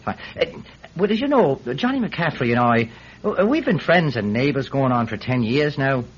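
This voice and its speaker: A man's voice: mid-range voice, lively intonation, normal speed, slightly rough. A man playing a talkative, evasive Irish villager in a radio drama, hesitant and wheedling as he rambles. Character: talkative, evasive Irish villager testifying about his friend. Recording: dull, lo-fi radio recording.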